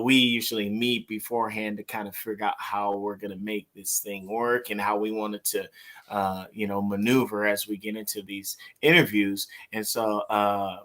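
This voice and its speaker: A man's voice: on the low side, sharp tone. A man hosting an interview show, talking in a laid-back, rambling, self-deprecating way into a studio mic. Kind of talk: casual interview chat. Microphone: studio mic with mixer.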